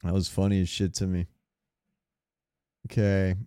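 A man's voice: deep voice, level tone, a little slow, dark tone, clear, resonant tone, no echo, studio quality. A man chatting casually and crudely as a podcast co-host, swearing freely. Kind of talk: casual banter about game sounds.

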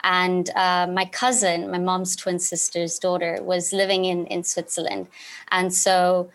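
A woman's voice: lowish voice, flat delivery, unhurried, fairly bright tone. A woman in her twenties from Zimbabwe, answering interview questions in an earnest, reflective way. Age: 20s. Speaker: podcast guest, alumna.

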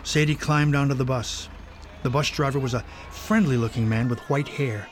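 A man's voice: mid-range voice, steady tone, normal speed, noisy background. A man narrating a scripted children's story.

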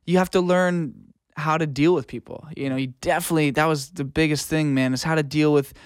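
Clear-voiced man chatting earnestly, dry sound. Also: fairly high pitch, steady tone, a little fast, no echo. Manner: colloquial, earnest, peppers talk with "man" and "like". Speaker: interview guest, audio engineer and music producer. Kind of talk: casual interview conversation.

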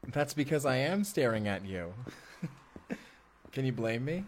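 A man's voice: mid-range voice, very animated, slow pace, a little hiss or hum. A man playing an affectionate, teasing husband from the future in an intimate audio roleplay. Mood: tender, teasing.

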